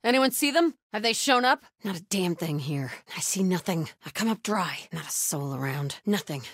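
A woman's voice: on the low side, animated, moderate pace, husky, dry recording, studio quality. An actress playing a fierce, devout female cult soldier in a video game, calling out short clipped combat lines. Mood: terse, watchful. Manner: short clipped callouts, from hushed to shouted. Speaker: video game enemy character.

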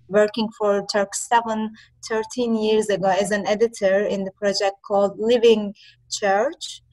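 A woman from Turkey introducing herself on a video call, quick but slightly hesitant. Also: medium pitch. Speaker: deputy executive director. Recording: distant call audio.

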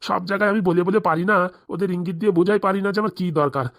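A man's voice: high voice, steady tone, rapid-fire, no echo. A man voicing a greedy, fawning corrupt policeman with a rural Bengali accent in a comedy cartoon. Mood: fawning, wheedling. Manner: obsequious, boastful. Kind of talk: comic cartoon dialogue.

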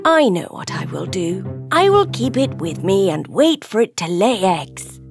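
A woman's voice: medium pitch, big pitch swings, unhurried, creaky. A woman playing a curious, scheming old lady in a children's cartoon, with exaggerated delivery. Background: music.

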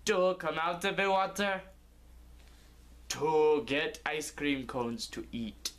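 A young man voicing an odd, hungry shark fisherman who makes ominous, drawn-out pronouncements in a comic story. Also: a little high, fairly even intonation, fairly bright tone.

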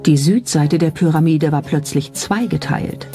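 A documentary narrator reading a script in a measured, explanatory way and posing rhetorical questions. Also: mid-range voice, dark tone. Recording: music behind the voice. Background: clearly audible background music.

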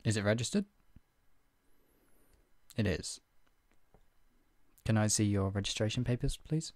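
A man's voice: on the low side, unhurried, darkish tone, natural voice. A man playing a stern, menacing redcoat soldier in a scripted roleplay interrogation, with a clipped, authoritative, condescendingly polite manner. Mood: brisk, officious. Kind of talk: ASMR roleplay, one-sided scripted dialogue.

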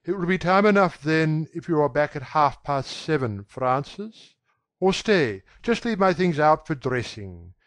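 Male narrator as young gentleman, raised pitch, commanding, brisk, nervy, close dry sound, storybook drama. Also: dry recording. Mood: calm, commanding. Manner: brisk, authoritative, calm surface. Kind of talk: dramatic audiobook reading.

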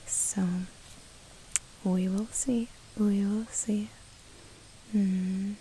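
A woman in her twenties playing a curious, kindly wood nymph in an ASMR roleplay, whispering softly and musing hesitantly close to the mic. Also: medium pitch, little pitch movement, extremely slow, rough recording. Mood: gentle, curious. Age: 20s. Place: outdoors in woodland. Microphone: close to the microphone. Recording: close whispered recording with hiss.

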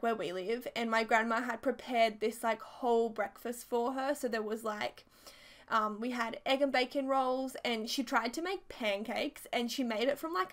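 A woman's voice: fairly high pitch, fairly bright tone. Chatty young Australian woman with a cold. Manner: chatty, rambling, many fillers and restarts. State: sore throat from a cold. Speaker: YouTuber narrating over a game build. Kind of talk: casual storytelling chat.

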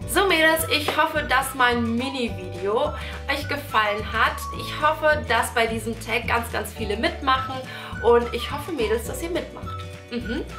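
A young woman, a touch high in pitch, talks through beauty picks in a chatty, gushing way. Her nose sounds a little stuffed up from a cold. The small room rings with echo, and music plays clearly underneath. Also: expressive, a little slow. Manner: chatty, gushing, addresses viewers as girls. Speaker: beauty vlogger. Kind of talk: casual product-recommendation vlog. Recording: echoey room with music behind.

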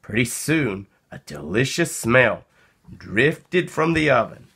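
A man reading a children's story aloud as narrator, with expressive, deliberate phrasing. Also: mid-range voice, gravelly, slightly rough. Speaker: storybook reader.

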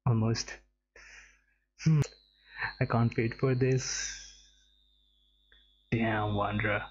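A man's voice: mid-range voice, fairly even intonation, drawn-out words, dull audio. A young man from India giving casual reaction commentary as a streamer, on a headset. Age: young adult. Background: game trailer music.

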